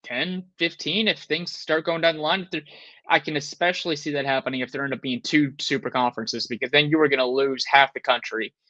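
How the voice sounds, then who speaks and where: A man's voice: fairly high pitch, fairly even intonation, brisk, sharp tone, no room sound, highs cut off. A man in his thirties co-hosting a sports talk show, rambling with restarts and frustrated. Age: 30s. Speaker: podcast co-host.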